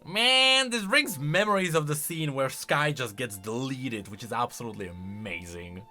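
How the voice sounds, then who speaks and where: Chatty guy reacting to game lore, bright forceful voice, really animated, little bit of music behind. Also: medium pitch, moderate pace. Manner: informal, opinionated, chatty. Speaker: video game lore commentator. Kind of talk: casual reaction commentary.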